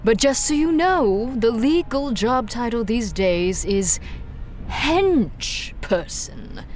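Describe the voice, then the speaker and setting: Villain hr recruiter lady, audio drama, slow and dry, reassuring, bit of music behind. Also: fairly high pitch, sing-song, a little mellow. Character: businesslike, wry female recruiter for supervillain henchmen. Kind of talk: audio drama acting, conversational job interview.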